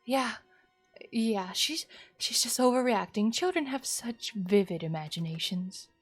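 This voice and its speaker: A woman's voice: fairly high pitch, lively intonation, measured pace, breathy edge. A woman voicing a doting, needy, strained mother in a horror visual novel, cooing and complaining emphatically. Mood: flustered, evasive. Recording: music behind the voice.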